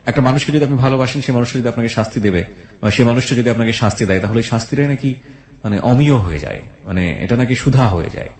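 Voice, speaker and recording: A man's voice: medium pitch, animated, fast talker, darkish tone. A Bangladeshi man hosting a radio show, talking in a reflective, philosophical way to a guest and listeners. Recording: roomy echo on the voice.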